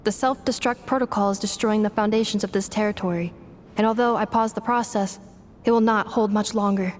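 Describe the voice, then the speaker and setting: A woman's voice: mid-range voice, steady tone, brisk, in a large hall, a little hiss or hum, lightly acted. A woman voicing a polite, literal-minded robot in a video game, making clipped, matter-of-fact announcements. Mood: calm, methodical.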